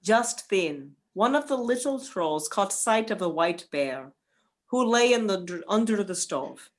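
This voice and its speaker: A woman serves as narrator of a folktale radio play. Her voice is of average pitch for a female. She reads scripted prose in a dramatic storytelling manner. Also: animated, unhurried.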